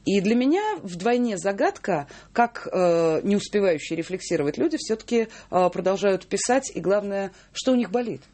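A woman hosts a radio talk show at a mid-range pitch. She speaks in a lively, conversational way. She stretches out her fillers as she builds up her questions. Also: normal speed, hardly any pauses. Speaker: radio host. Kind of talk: radio interview hosting.

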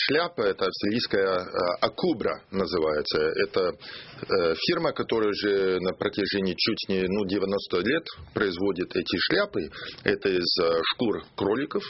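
Hesitant man, low voice. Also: expressive, a little hiss or hum. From Australia. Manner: hesitant, pausing to search for words. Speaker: ambassador, studio guest. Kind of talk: interview answers in a second language. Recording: muffled radio studio recording.

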